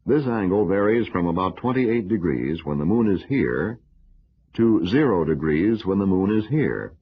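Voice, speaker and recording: Deep-voiced man narrating an old educational film. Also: big pitch swings, slightly rough. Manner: formal, measured, instructional. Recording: dull, lo-fi old film soundtrack.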